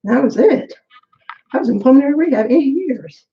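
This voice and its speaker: Woman, middle-aged, short of breath, rambling casual chat, gravelly voice, dull recording. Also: high-pitched, expressive, fairly quick. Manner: rambling, candid, talks to herself. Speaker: vlogger. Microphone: camera while braiding her hair.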